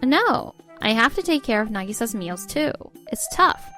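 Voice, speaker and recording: Woman acting fed-up young wife w/ baby, high voice, really up and down, comic dub style. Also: very animated, normal speed, bright, forceful tone, soft background music. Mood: exasperated, firm. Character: exasperated, overburdened young wife and mother. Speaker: voice actor in a comic dub, narrating and playing characters. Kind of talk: scripted dramatic narration and dialogue.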